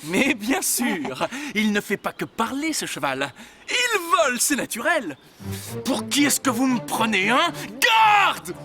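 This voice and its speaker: A man voicing an excitable, pompous, imperious king in an animated fairy tale, with theatrical, commanding delivery. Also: very high-pitched, slow, heavily acted. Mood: furious. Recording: long hall reverb, music underneath.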